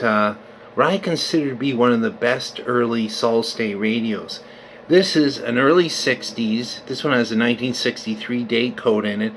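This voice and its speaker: A man's voice: mid-range voice, fairly bright tone. Man casually demonstrating, some background noise. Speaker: vintage radio collector presenting his restoration. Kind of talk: casual unscripted video demonstration.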